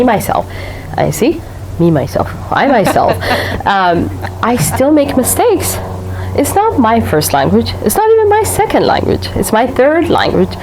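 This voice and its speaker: A woman's voice: fairly high pitch, darkish tone, a little hiss or hum, low-quality recording. A woman from Africa with an Eritrean accent, speaking as a guest author at a library talk, laughing often and repeating words for emphasis. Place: public library room.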